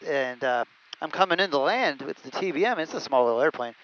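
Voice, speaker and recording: A man's voice: on the high side, animated, fairly quick, fairly bright tone. A man who is a pilot, chatting casually about flying from inside a small jet cockpit. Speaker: pilot, guest co-pilot. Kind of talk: casual chat, recounting flying career. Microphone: aviation headset. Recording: dull, muffled headset audio.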